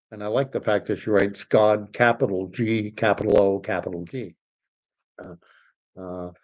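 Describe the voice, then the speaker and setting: A middle-aged man from Canada hosts a live stream, reading viewer comments aloud and drifting off topic. His voice sits on the low side and is tiring, hoarse from talking for an hour. He hesitates often, filling gaps with ums and uhs. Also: a little mellow, low-quality recording. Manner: rambling, hesitant, many fillers. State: losing his voice.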